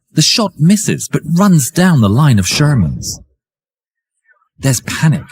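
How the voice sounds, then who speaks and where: A man reading dramatic scripted war history narration in a theatrical, suspenseful way, with a husky, raspy voice. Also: medium pitch.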